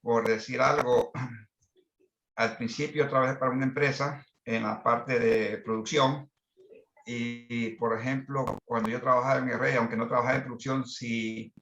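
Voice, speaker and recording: Male, 66, Ecuadorian accent, gravelly voice, halting delivery, muffled online call sound. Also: medium pitch, fairly bright tone, reverberant, a little hiss or hum. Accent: Ecuadorian Spanish. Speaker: masterclass participant, management consultant. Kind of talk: introducing himself and sharing work experience.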